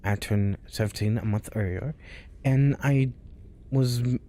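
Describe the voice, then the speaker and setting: Man, spooky storyteller, hushed, whispering, slow-paced. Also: mid-range voice. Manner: hushed, often dropping to a whisper. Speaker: storyteller host. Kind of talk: reading spooky stories aloud.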